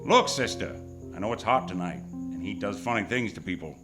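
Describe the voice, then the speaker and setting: A man's voice: low-pitched, animated, a little fast, over music. A man acting a wry, hardboiled private detective who narrates his case in old-time radio noir style on stage. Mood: smooth, insistent. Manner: wisecracking, tough, world-weary slang. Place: stage of live radio theatre.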